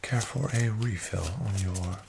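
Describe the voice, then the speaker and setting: A soft-spoken man doing an ASMR roleplay as a friendly, courteous casino dealer, speaking in a formal, measured and encouraging way. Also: fairly low pitch, vocal fry, background noise. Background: card shuffling and clicks.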